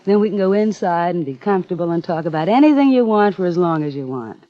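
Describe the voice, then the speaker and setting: A woman's voice: lowish voice, expressive, quick pace, close to an everyday voice. A woman playing a flirtatious, breezy, evasive woman in a 1950s radio crime drama, casual and coy. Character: flirtatious, breezy, cool woman dodging police questions. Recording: muffled old radio broadcast recording.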